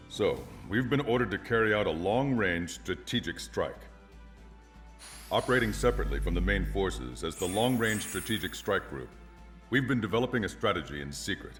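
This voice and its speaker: Deep, formal military briefing officer. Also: male voice, fairly bright tone. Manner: formal, measured. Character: composed, authoritative male air force briefing officer. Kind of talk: scripted military briefing in a video game.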